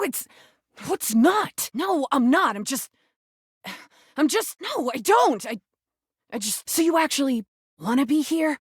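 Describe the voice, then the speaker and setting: A woman's voice: high-pitched, slightly rough, no echo, studio quality, heavily acted. A woman voicing an angry, wounded female rubber duck in a video game, blunt and defensive but easily made vulnerable. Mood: flustered, disbelieving. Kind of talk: video game character acting.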